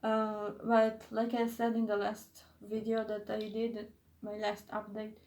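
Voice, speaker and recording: A woman's voice: mid-range voice, completely flat delivery, a little slow, darkish tone, roomy sound. A young woman who is not a native English speaker, chatting in a casual vlog, hesitant and often losing her train of thought. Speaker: YouTuber.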